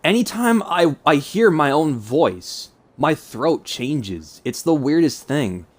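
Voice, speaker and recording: A man's voice: a little high, sing-song, takes it slowly. Rambling young man with a stuffy nose. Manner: hesitant, rambling, self-conscious. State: mild cold. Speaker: YouTuber. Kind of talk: casual rambling vlog monologue.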